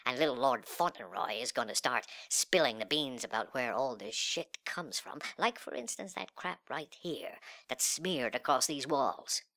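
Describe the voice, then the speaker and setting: A man performing a dramatic monologue as a sullen, cocky, nihilistic young slacker, with breathy, slangy, sneering delivery that swings between muttering and outbursts. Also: mid-range voice, animated, unhurried, hardly any pauses, sharp tone, heavily acted. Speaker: reader of a literary monologue. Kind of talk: dramatic first-person monologue in slangy speech.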